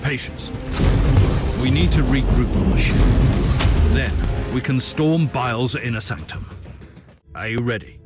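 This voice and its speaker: An actor playing a grave, formal Inquisitor and war leader in a dramatic video game cutscene. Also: male voice, medium pitch, a little slow, pauses often, loud music behind the voice, close to an everyday voice. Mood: commanding. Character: stern, commanding male Inquisitor, grim war leader.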